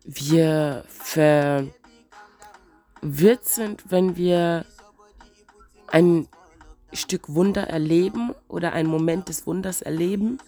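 A woman with a cold, sounding stuffy and wheezy, musing slowly and reflectively in a casual spiritual vlog. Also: on the low side, warm tone, soft background music. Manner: slow, searching, reflective musing. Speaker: vlogger.

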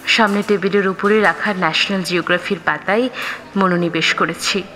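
A woman reading a scripted first-person story expressively, with dramatic pauses. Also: lowish voice, steady tone, sharp tone, a little hiss or hum. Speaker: audio story narrator. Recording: reverberant, music underneath. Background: background music.

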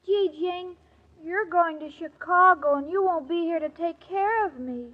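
A sweet, dim-witted young American woman with an extremely high, childlike voice. She is plaintive and self-pitying, gullible in every line. It sounds like a muffled 1940s radio comedy. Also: measured pace. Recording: muffled old radio recording.

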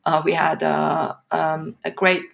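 A woman from Iran, in her thirties, talking in a casual podcast interview with many fillers and pauses. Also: low voice, creaky, slight background noise. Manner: hesitant, many fillers, animated when laughing. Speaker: podcast guest.

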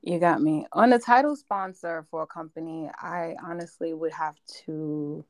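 A woman from New York, a podcast guest, chatting in a raspy, strained voice that is hoarse from yelling, quick to break off and correct herself. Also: low-pitched, no room sound, studio quality. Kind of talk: casual podcast interview.

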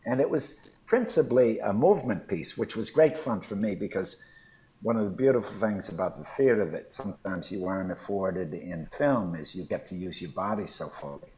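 Older guy talking it through slowly, lots of uhs, lower voice. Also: animated, low-quality recording. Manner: thoughtful, hesitant with many fillers. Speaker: podcast co-host. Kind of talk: casual conversation.

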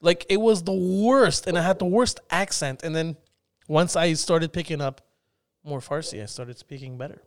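A man co-hosting a casual podcast chat, animated and swearing freely. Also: a little high, moderate pace. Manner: animated, swearing, mimics accented phrases. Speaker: podcast co-host.

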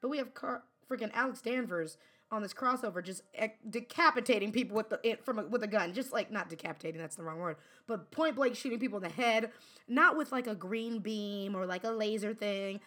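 A woman co-hosting a podcast in casual chat, giggly and animated, often acting out quotes. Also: medium pitch, fairly quick. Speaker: podcast co-host.

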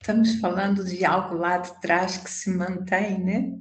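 Slow-spoken woman with softened Portuguese accent. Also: lowish voice, steady tone. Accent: Portuguese softened by decades in Brazil. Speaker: psychologist guest. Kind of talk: reflective conversational interview. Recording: echoey room with background noise.

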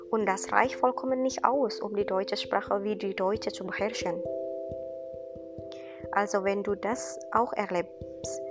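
A young woman from Indonesia speaking German with an Indonesian accent, giving an earnest, encouraging podcast monologue in halting non-native phrasing. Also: mid-range voice. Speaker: podcast host, student. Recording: music bed behind voice.

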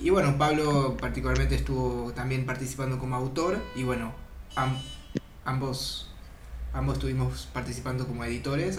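A man hosting a casual chat, talking loosely with plenty of fillers. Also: mid-range voice. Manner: relaxed, rambling with fillers. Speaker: podcast host. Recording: echoey room with clear noise.

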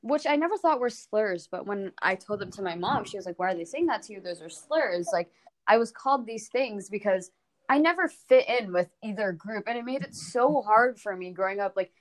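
A woman's voice: mid-range voice, expressive, fairly quick. A young woman from the United States with an American accent, sharing personal anecdotes informally and explaining as she goes in a group discussion.

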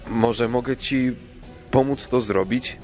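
A man's voice: medium pitch, slow, a little mellow, faint music behind. A man playing a polite, nervous king on a quest, hesitant and stammering, in a children's audio play. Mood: frightened, stammering.

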